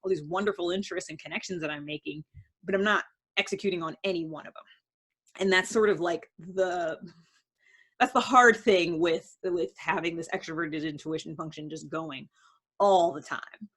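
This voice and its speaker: Low-pitched woman talking off the cuff. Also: moderate pace, fairly bright tone, slightly rough. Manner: conversational, rambling, hedging with "like" and "sort of". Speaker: video blogger on personality typing. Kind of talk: casual unscripted explainer to camera.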